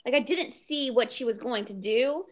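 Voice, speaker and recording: A high-pitched woman leads a casual book chat over a group video call. She talks bluntly and jokingly, with free use of swearing. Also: lively intonation, normal speed. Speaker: book club discussion host.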